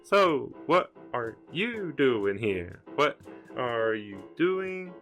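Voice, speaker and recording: A man voicing small, excitable, singsong plant creatures in a tabletop game, with a bouncy, repetitive way of talking. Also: mid-range voice, very slow, soft background music, exaggerated voice. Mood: playful taunting.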